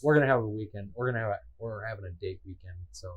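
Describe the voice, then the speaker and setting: A man's voice: lowish voice, rapid-fire, lo-fi sound. A man co-hosting a casual podcast, self-deprecating and prone to repeating himself, laughing often. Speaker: podcast co-host. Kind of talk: casual chat.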